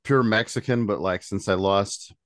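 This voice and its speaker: A man's voice: lowish voice, normal speed, dry recording, studio quality. An American man hosting a podcast, chatting in a casual, rambling, informal way. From Indiana, United States. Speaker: podcast host. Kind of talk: casual chat.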